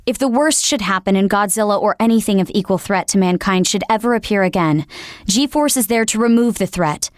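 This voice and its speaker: A woman's voice: mid-range voice, lively intonation, fairly quick, no echo, clean studio sound, close to an everyday voice. A woman playing an earnest, dutiful military operator in a video game, speaking formally. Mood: grave, explaining. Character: earnest female military operator briefing her commander. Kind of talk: scripted video game voice acting.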